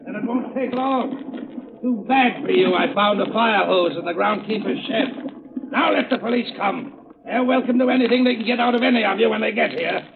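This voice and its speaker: Ruthless gloating villain, tinny old radio. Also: male voice, high-pitched, moderate pace, vocal fry. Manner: menacing, taunting, theatrical. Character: ruthless gloating swindler and killer, male villain. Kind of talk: 1930s radio thriller acting. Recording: tinny, noisy, echoey old radio recording.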